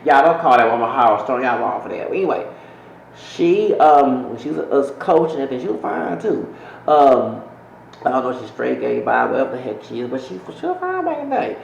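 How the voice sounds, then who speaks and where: A man's voice: mid-range voice, sing-song, a little fast, gravelly, reverberant, lo-fi sound, slight background noise. A man hosting his own channel, giving rambling, opinionated casual commentary that keeps correcting itself. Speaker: channel host.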